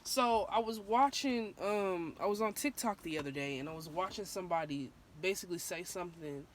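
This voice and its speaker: A young woman vlogs her thoughts in a loose, candid way, often pausing on fillers and restarting her sentences. Her voice is a touch hoarse from a sore throat. A faint background hiss sits under the recording. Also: fairly low pitch. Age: young adult. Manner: candid and rambling, with many ums and restarts. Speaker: vlogger. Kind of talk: casual opinion vlog.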